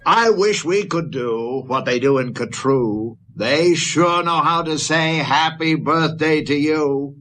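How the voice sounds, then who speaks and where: A man's voice: fairly high pitch, slow pace, hardly any pauses, a bit bright, slight background noise. A man reading a children's rhyming story aloud in a lilting, playful way, in an old recording.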